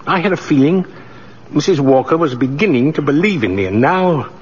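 An older man playing a gentle, principled old Santa Claus figure in a 1940s radio drama, on a muffled old recording. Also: on the high side, animated, moderate pace, breathy edge. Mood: wounded, disillusioned.

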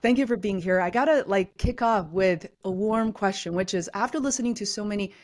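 A woman's voice: mid-range voice, brisk, a little mellow. A woman hosting a podcast interview, who reads a guest introduction and then asks questions in a friendly way. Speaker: podcast host.

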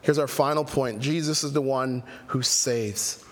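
A man preaching a sermon, reading scripture aloud and explaining it with animated emphasis and playful asides. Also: mid-range voice, measured pace, a little hiss or hum. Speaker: pastor. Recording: reverberant hall.

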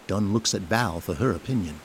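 A man reading scripted narration for an audio drama in a measured, descriptive storytelling manner. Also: low-pitched, lively intonation, a little hiss or hum. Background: prominent music.

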